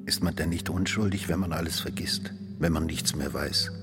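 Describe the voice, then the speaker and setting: A man's voice: extremely low pitch, fairly even intonation, normal speed. An actor playing an evasive, self-excusing defendant in a radio drama, arguing and backtracking as he goes. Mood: self-pitying. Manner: wheedling, argumentative, backtracking. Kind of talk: German radio drama acting. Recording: reverberant hall with clear music behind.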